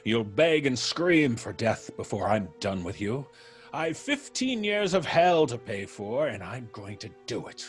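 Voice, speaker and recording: An actor playing a vengeful, gloating ex-convict in an old-time radio drama, with theatrical menace and florid, drawn-out diction. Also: male voice, mid-range voice, very animated, soft background music. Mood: ominous.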